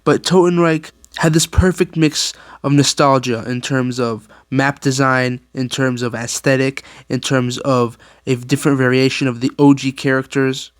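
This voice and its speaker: A man giving casual, opinionated commentary as a gaming video creator, slangy and animated, often digressing. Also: medium pitch, moderate pace, few pauses, dry recording, studio quality.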